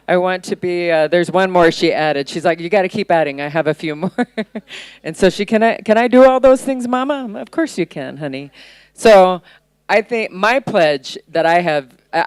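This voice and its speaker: Deep-voiced woman on a panel, blunt and punchy, super clean voice, dry sound. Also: normal speed, clean tone, no room sound. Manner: blunt, energetic. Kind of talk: panel pledge speech.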